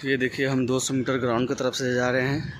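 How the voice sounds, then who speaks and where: A man's voice: medium pitch, level tone, brisk. A young man from India walking viewers through an outdoor athletics stadium, describing what he shows in a plain, direct way. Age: young adult. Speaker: vlogger, athlete. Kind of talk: walking tour narration. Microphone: phone camera, handheld. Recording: outdoor phone audio with background noise.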